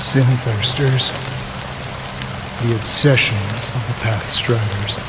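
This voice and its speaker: A man playing a weary, disillusioned sage in a video game, speaking in solemn, halting, philosophical phrases. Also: on the low side, steady tone. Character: weary, disillusioned male sage of long years. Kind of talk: slow dramatic video game voice acting. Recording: clear rain noise behind the voice. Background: rain falling.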